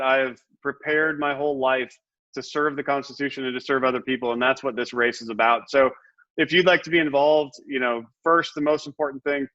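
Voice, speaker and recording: A man's voice: mid-range voice, pushed and bright, no room sound. Earnest, impassioned man on muffled audio. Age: middle-aged. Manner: impassioned, repeats and restarts words. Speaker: Senate candidate, guest. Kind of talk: earnest interview talk.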